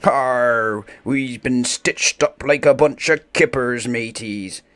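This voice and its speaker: Man acting plucky male pirate captain, blustery sea-dog talk, unhurried, storybook villain-chaser. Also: mid-range voice, a bit bright. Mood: rueful. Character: hearty, plucky male pirate captain, rallying his crew.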